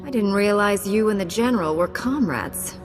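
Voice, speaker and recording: Woman acting Jedi Knight game heroine, medium pitch, confident, resolute, assured, over music. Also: animated, normal speed, natural voice. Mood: composed.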